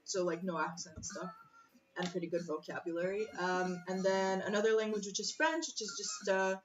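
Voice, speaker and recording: A chatty woman with Russian as her first language thinks aloud over chess puzzles, trailing off, restarting and laughing at herself. She sits a little back from a somewhat muffled microphone. Soft music plays faintly behind her. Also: medium pitch, brisk. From former USSR. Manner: chatty, self-interrupting, laughs often. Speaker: chess streamer. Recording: slightly distant, muffled mic with faint music.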